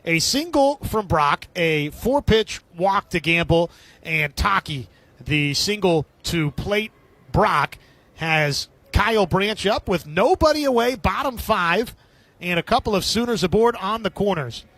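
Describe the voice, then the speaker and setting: A man calling baseball play-by-play as live radio sports commentary, with a husky edge to his voice. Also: sits high, animated, measured pace, a bit bright.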